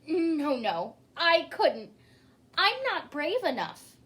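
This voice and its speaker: A woman's voice: high voice, sing-song, slow, a bit bright, voice pushed up, exaggerated voice. A woman voicing a timid, tearful male dragon in a children's storybook, with a sighing, pleading delivery. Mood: reluctant, insecure.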